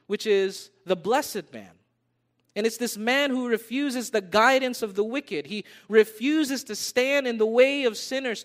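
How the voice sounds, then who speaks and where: An American man preaching a sermon, repeating his phrases for emphasis and calling his listeners beloved. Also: sits high. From United States. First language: English. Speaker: pastor.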